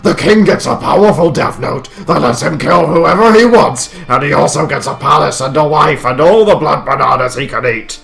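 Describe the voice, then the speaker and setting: Boastful death-god king, high and booming, read by a man. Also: normal speed, gravelly, hardly any pauses, a bit bright, faint music behind.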